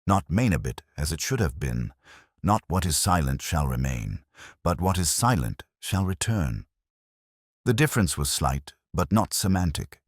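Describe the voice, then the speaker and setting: A man playing a brooding, erudite middle-aged detective who narrates a mystery in measured, literary phrases. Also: sits low, very animated, no echo, clean studio sound, heavily acted. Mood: brooding, reflective. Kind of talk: scripted first-person mystery narration, audio drama.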